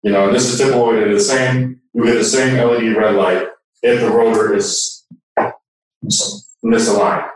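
A man giving an informal technical explanation as a product expert, halting as he searches for words. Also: medium pitch, steady tone. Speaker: product expert guest demonstrating. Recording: echoey room, distant from mic.

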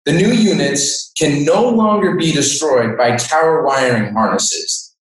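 A man's voice: fairly high pitch, animated, unhurried. A man in his twenties with an American accent, a sales engineer explaining things as an interview guest. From Denver, Colorado, United States. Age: 20s. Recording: echoey room, distant microphone.

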